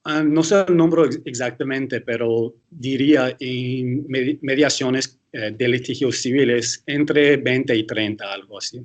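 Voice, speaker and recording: A man's voice: mid-range voice, measured pace, few pauses. Hesitant American man, speaking Spanish. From United States. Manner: hesitant, self-correcting, with frequent restarts. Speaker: attorney, guest lecturer. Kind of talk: interview answers.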